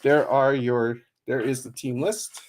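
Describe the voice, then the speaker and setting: A man hosting a trading card break stream, chatting casually with many fillers and quick laughs, and reading out a long list of names. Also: mid-range voice, fairly even intonation, a little hiss or hum. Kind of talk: casual livestream chat.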